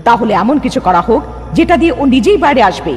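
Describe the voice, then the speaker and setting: A woman's voice: sits high, sing-song, fast. A woman playing a determined young heroine in a dramatic cartoon dub, earnest and questioning. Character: determined young heroine seeking to save a village. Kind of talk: dramatic dubbed cartoon dialogue. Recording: long hall reverb, faint music behind.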